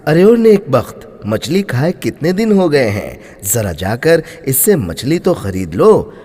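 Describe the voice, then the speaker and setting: A humble, devout baker from a moral tale, played by a male storyteller. He is earnest and respectful, his husky voice moving widely in pitch. The sound carries a long hall echo. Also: big pitch swings, unhurried, dark tone. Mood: eager.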